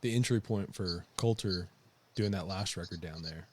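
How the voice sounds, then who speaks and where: Male host, low voice, casual and halting, filler-heavy. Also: dry recording. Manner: loose, hesitant, heavy use of "like". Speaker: podcast host. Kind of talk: casual interview chat.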